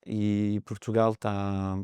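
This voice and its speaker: A man's voice: on the low side, little pitch movement, extremely slow, mellow, clean tone, no echo. A man in his thirties from France with a French accent, a guest on a podcast interview, talking in a hesitant way with many fillers. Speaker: mortgage broker guest.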